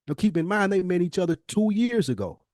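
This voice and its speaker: A man co-hosting a podcast, making a casual, conversational pitch in an emphatic, insistent way, often stacking repeated phrases. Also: very animated, fairly quick, darkish tone, no room sound, clean studio sound. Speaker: podcast co-host.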